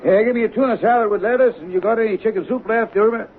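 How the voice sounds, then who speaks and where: A man's voice: high voice, rapid-fire, soft background music, lightly acted. An actor playing a blunt, kindly, baffled lunch-counter man with colloquial speech in a radio drama. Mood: concerned. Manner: colloquial, animated. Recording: tinny, noisy 1970s radio recording.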